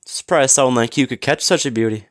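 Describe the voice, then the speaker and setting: A man playing a smug, flirtatious businessman in an audio roleplay, teasing and smooth-talking with mock politeness. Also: mid-range voice, fast talker, dry recording, clean studio sound, natural voice. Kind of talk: scripted audio roleplay acting.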